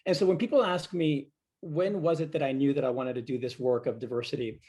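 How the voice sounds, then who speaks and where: A middle-aged man from Cuba, telling his life story in a reflective, measured way as the guest of a video-call fireside chat. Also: mid-range voice, steady tone, warm tone. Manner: reflective, measured, with frequent fillers. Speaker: guest speaker.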